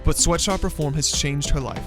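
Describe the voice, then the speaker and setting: A man reading a scripted student news report in an even, brisk delivery. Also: fairly high pitch. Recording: voice-over with faint music.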